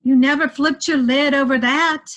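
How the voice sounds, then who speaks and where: A woman's voice: high voice, steady tone, moderate pace, fairly bright tone. A woman playing a pleading, peacemaking mother in an amateur play read over a video call. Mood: reproachful. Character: Esther, pleading peacemaking middle-aged mother.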